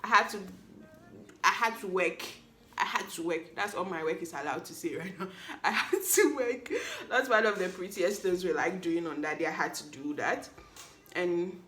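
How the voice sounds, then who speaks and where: A woman's voice: lowish voice, normal speed, sharp tone. A woman, hosting a solo podcast recap in a playful, chatty way that teases the listener. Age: 20s. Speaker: podcast host. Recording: echoey room.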